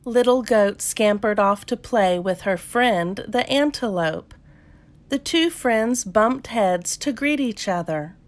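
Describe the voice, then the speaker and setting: A woman reading a children's story aloud as a narrator, in a measured, expressive storytelling manner. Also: mid-range voice.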